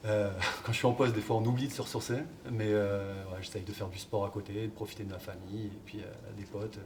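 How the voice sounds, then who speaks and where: A man, a football coach, answering interview questions in a relaxed, hesitant way with many fillers. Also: fairly low pitch, fast. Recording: distant mic, background noise.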